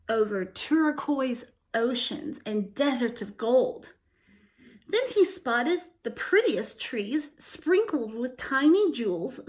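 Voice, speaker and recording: Woman, children's storytime host, higher-pitched voice, very lively intonation, expressive read-aloud, question-asking. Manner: expressive, asks the young listeners questions. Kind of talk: read-aloud picture-book storytelling with explanations for young children.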